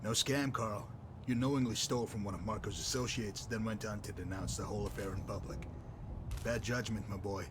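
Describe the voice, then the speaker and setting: A man's voice: lowish voice, fairly even intonation, fairly quick, noisy background, natural voice. A man voicing a sardonic, menacing enforcer in a video game, wry, wordy and mockingly polite. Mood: cold, mocking menace. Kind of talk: scripted video game dialogue.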